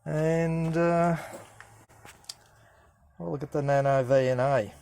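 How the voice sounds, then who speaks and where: A man giving a casual hands-on demonstration as a hobbyist, speaking haltingly as he thinks aloud. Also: fairly high pitch, fairly even intonation, vocal fry, a little mellow, a little hiss or hum. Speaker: hobbyist radio presenter.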